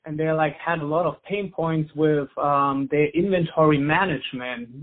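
A man's voice: a little high, normal speed. German-accented man on a phone line. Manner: relaxed, heavy use of "like" and "you know". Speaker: company founder, guest. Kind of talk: casual interview chat.

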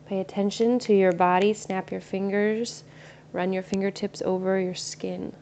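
Soft-spoken woman guiding a meditation. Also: medium pitch, level tone. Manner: slow, soothing, soft-spoken. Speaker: meditation guide. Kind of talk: guided meditation. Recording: slightly dull recording with faint ambient noise.

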